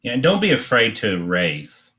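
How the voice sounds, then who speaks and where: A man giving an informal, unscripted drawing demonstration, thinking aloud in a halting way as he teaches. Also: fairly low pitch, huge pitch swings, bright, forceful tone.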